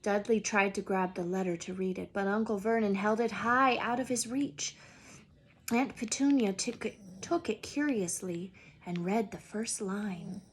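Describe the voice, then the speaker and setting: Slow, slightly husky woman reading aloud. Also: medium pitch. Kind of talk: expressive storybook read-aloud.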